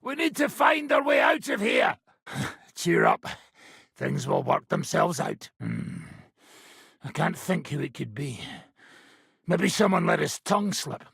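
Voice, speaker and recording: An actor playing a coarse, combative medieval mercenary commander in a video game, with a gravelly, raspy voice and a blunt, profane, gruff manner. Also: male voice, a little high, huge pitch swings, a little slow, pauses often, fairly bright tone, dry recording, studio quality, exaggerated voice. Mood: urgent shouting. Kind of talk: video game character acting.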